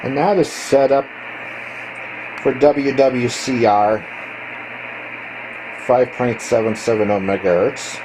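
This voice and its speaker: A man giving a casual, hands-on video walkthrough as a hobbyist, explaining technical gear in a matter-of-fact, halting way into a handheld camera. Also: medium pitch, steady tone. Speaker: ham radio hobbyist showing his equipment. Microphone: handheld camera microphone. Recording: clearly noisy handheld camera recording.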